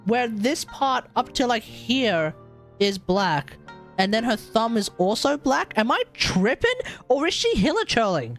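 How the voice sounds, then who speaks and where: A woman streaming a game with casual, excitable commentary. Her voice is on the high side and moves around a lot in pitch. She often repeats herself, with soft music behind her. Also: unhurried.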